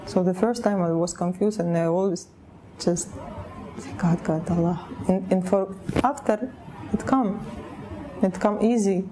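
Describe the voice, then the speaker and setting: A woman from Russia with a Russian accent, speaking halting, broken English as she tells her personal story in an interview, often pausing to search for words. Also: medium pitch, noisy background.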